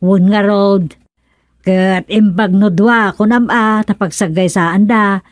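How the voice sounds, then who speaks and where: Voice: mid-range voice, steady tone, slow pace, dry recording, close to an everyday voice. An actor playing a matter-of-fact village gossip in a radio comedy, conversational, with an Ilocano accent. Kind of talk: radio serial drama-comedy acting.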